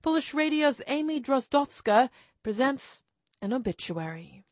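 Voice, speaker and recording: A woman radio presenter with brisk, playful scripted links between items. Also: high-pitched, very animated, darkish tone.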